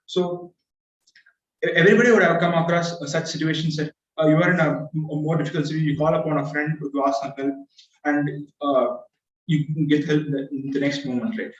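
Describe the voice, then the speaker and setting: A man's voice: fairly high pitch, steady tone, a little fast. A young man whose first language is Tamil, giving a prepared speech hesitantly, with many filler sounds and restarts. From India. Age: young adult. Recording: far-off echoey dull video call audio.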